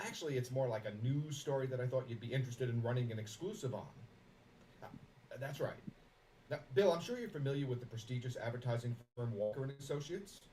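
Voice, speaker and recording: A man's voice: medium pitch, darkish tone, recorded from a distance, a little hiss or hum, lightly acted, rough recording. A man playing a scheming, smug businessman who fakes concern with unctuous sincerity in a one-sided phone monologue. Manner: unctuous false sincerity, theatrical asides. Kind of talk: dramatic monologue, one side of a phone call.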